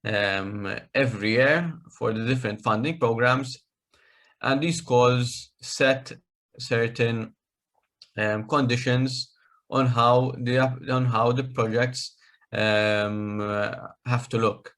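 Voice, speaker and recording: A man's voice: mid-range voice, steady tone, slow, a bit bright. A man presenting an online workshop on project writing over a video call, hesitant with many fillers and restarts. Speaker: workshop trainer.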